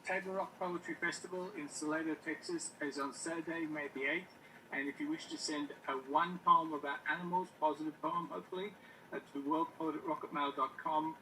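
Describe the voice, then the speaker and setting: Very sharp, bright man, distant from the mic. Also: on the high side. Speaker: open mic participant making an announcement. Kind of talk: spoken event announcement on a video call. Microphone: video call microphone. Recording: distant, slightly noisy video call audio.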